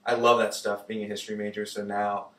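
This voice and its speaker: Young German-born man, low and flat. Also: a little fast, reverberant, distant mic. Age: young adult. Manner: relaxed, many fillers like "like" and "uh". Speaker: interviewee. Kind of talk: casual personal storytelling.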